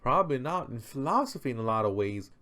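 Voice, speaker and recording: A man's voice: mid-range voice, sing-song, fast, sharp tone. A man presenting an unscripted, conversational explainer, speaking emphatically with rhetorical questions and repeated phrases for stress. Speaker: presenter explaining history and philosophy.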